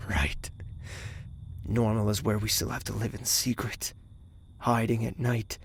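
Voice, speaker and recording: Casting: male actor as young crown heir, gravelly mid-range voice, soft, hesitant, self-doubting, intimate audio drama. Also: on the low side, little pitch movement, a little slow, a little hiss or hum. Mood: bitter, brooding. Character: pensive young royal heir, gentle but self-doubting.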